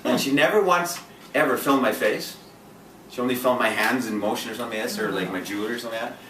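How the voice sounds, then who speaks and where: Fast-talking intense man in an echoey room. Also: medium pitch, fairly bright tone. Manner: intense, direct, lots of restarts. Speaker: dating coach. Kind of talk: casual group chat. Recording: echoey room from a distance, faint noise.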